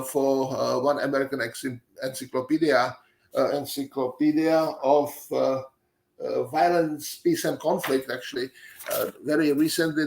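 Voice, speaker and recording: A man in his seventies with a Czech accent, a native Czech speaker giving an academic lecture, pausing often on fillers and restarting phrases. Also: a little high. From Czech Republic. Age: 70s. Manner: halting, many fillers and restarts.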